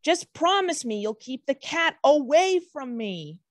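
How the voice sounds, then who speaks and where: A woman voicing a timid, anxious little talking shrub with nervous, childlike pleading, in an animated fantasy table read. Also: high-pitched, a little slow, no room sound, clean studio sound. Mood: wary.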